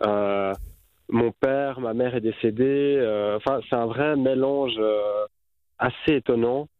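A man's voice: mid-range voice, takes it slowly, clear, resonant tone, no room sound. A man in his thirties with a Swiss French accent, a guest filmmaker speaking reflectively with many fillers over a phone line. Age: 30s. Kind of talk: radio interview by phone.

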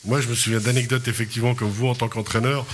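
Guy hosting local radio, laughs a lot, lots of euhs, mid-pitched voice. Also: fast, a little hiss or hum. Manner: lively, laughs often, hesitant fillers. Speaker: radio show host. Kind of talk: casual radio chat.

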